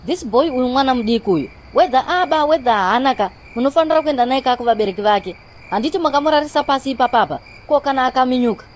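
A woman's voice: sits high, a little hiss or hum, close to an everyday voice. A woman voicing an exasperated, well-off young Zimbabwean peacemaker who remonstrates in a comedy cartoon. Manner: remonstrating, mixing in English phrases.

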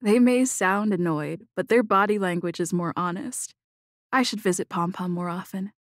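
A woman playing a young space traveler in a video game, calm, reflective and resolute, speaking scripted lines in a measured, thoughtful manner. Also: fairly low pitch, dry recording, studio quality, natural voice. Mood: musing.

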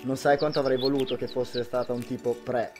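A man's voice: medium pitch, level tone, a little fast, over music, close to an everyday voice. A young man, a student actor playing a boastful, lovestruck, hot-headed husband in an amateur radio drama. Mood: fond, storytelling. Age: young adult.

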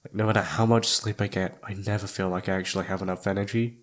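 A man's voice: low-pitched, steady tone, quick pace, close to an everyday voice. A man playing a shy, flustered young incubus roommate in an ASMR roleplay, hesitant and awkward, often trailing off. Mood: weary, pensive. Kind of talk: ASMR roleplay audio drama.

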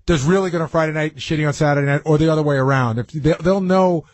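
Fast-talking man with a higher voice. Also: a little mellow, no echo. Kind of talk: casual explanatory talk. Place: radio studio.

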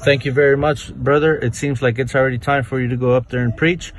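A man works as a live interpreter, moving quickly between two languages with plenty of fillers and restarts. His voice sits at a middle pitch, and a faint background hum runs underneath. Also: steady tone.

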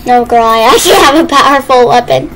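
A woman's voice: sits high, fast. A woman chatting casually while playing a video game. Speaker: gamer playing a role-play game. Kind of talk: casual gameplay chat. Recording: faint background noise.

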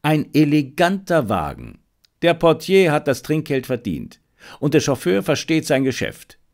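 A man voicing a confident gentleman amateur detective in an audiobook, self-assured and commanding, given to reflection. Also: a little high, big pitch swings, measured pace. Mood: satisfied, musing.

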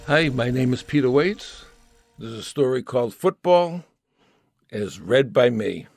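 A man's voice: mid-range voice, animated, measured pace, vocal fry. A man reading his own scripted comic essay in a wry, mock-serious manner.

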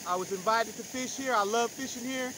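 A man's voice: very high voice, brisk, sharp tone. Hyped man filming himself outdoors. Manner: hyped, slangy, whooping after catches. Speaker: fishing vlogger. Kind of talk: casual outdoor vlog commentary. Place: outdoors by a pond and river. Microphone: phone camera at arm's length. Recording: noisy outdoor phone recording.

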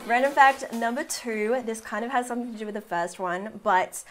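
A woman's voice: on the high side, normal speed, over loud music. A young woman in her twenties chatting casually to camera in a bedroom, bubbly and full of fillers. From half Filipino. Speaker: beauty vlogger. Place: indoor bedroom with fairy lights.

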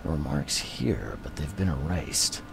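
A world-weary male detective from a horror game. His voice sits extremely low and crackles with gravel. He speaks tersely and broodingly over heavy background noise. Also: big pitch swings, a little slow, full character voice. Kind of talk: video game voice acting.

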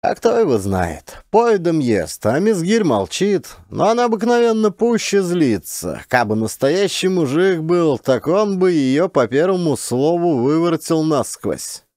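Audiobook part: blunt rustic idler, acted by a man, folksy grumbler with very lively intonation. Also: a little high, measured pace, hardly any pauses, dry recording, clean studio sound, pitched above the performer's own voice. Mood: scornful, animated. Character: beardless round-faced peasant man, brash idle forest-post teaser.

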